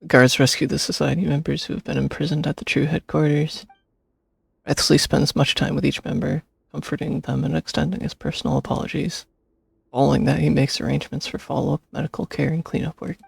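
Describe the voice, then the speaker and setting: Male gaming commentator: heavy fry, raspy, casual delivery, close dry mic. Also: on the high side, level tone, fairly quick, no echo. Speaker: streamer reacting to a game.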